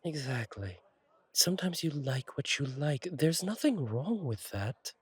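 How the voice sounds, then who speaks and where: A man playing a friendly, attentive fallen-angel bartender in an intimate roleplay audio, reassuring and chatty, with gentle wry humour and a husky voice. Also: mid-range voice, very animated, unhurried, dry recording, exaggerated voice. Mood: welcoming, reassuring. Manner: reassuring, chatty, wryly playful, slightly formal wording. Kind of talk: intimate one-sided roleplay audio acting.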